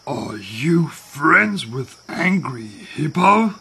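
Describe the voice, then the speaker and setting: Lady doing a gravelly wary storybook critter, super slow, drawn out questions. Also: deep voice, sing-song, pushed and bright, voice pushed down, heavily acted. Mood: curious. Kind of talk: children's animal folktale character.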